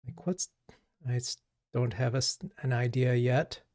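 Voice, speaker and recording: A man from Japan hosting a coding livestream as a coach, chatting casually and haltingly as he thinks aloud. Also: mid-range voice, little pitch movement, a little mellow, dry recording, studio quality.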